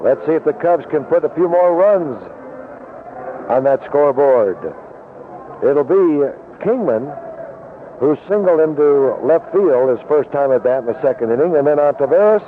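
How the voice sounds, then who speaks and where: A man's voice: on the high side, lively intonation, moderate pace. An American man calling baseball play-by-play in the style of 1970s radio commentary. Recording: tinny noisy vintage AM radio broadcast.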